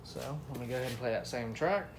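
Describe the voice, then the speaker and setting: A man's voice: mid-range voice, fast talker, heavy background noise. A man giving a casual, unscripted product review, talking informally to his viewers. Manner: informal, addresses viewers as y'all and guys.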